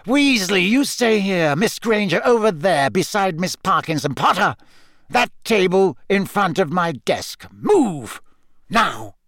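A man voicing a sneering, coldly contemptuous male schoolteacher in an audiobook, speaking slowly and deliberately with quiet, hissing menace. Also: fairly high pitch, expressive, no echo, clean studio sound. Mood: sharp, commanding. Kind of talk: audiobook character voice.

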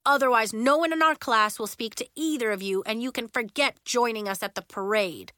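A woman's voice: a little high, a little fast, bright, forceful tone, no echo, clean studio sound. A woman voicing an exasperated, bossy schoolboy who gives firm commands, in an audiobook children's story. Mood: exasperated yelling. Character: exasperated, bossy young schoolboy taking charge.

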